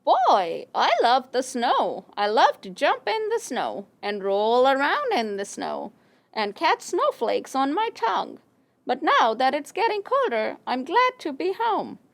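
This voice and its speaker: A woman voices a sweet, generous animal in a children's puppet show. The voice is very high and swoops up and down dramatically, with a singsong, exclaiming delivery. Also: huge pitch swings, unhurried, gravelly, full character voice. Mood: cheerful. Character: cheerful, generous female dog and kindly fox.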